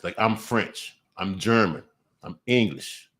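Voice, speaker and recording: A man's voice: fairly low pitch, takes it slowly, a bit bright. A man talking casually on a podcast, full of restarts and fillers, with sudden emphatic bursts. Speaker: podcast host. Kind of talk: casual conversation.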